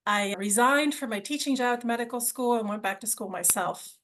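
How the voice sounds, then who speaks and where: A woman's voice: a little high, a little fast, a bit bright. A middle-aged woman originally from Argentina, telling her story as a podcast guest in an enthusiastic, conversational way, often repeating words as she restarts.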